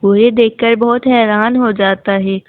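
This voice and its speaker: A woman reads a scripted children's moral story. Her voice sits a little high and moves slowly. The audio is thin and narrow, like a phone. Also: steady tone, no room sound.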